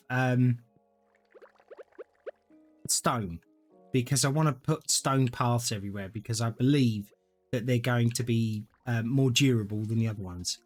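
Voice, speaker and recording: A man, chatting casually and thinking aloud as he streams a game to viewers. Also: medium pitch. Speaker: gaming streamer. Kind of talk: casual live-stream gameplay commentary.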